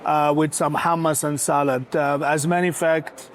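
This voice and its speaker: A man from Morocco, a restaurant founder talking as a guest in a casual interview, pausing often with filler sounds. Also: fairly high pitch, little pitch movement, a little hiss or hum. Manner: hesitant, many filler sounds.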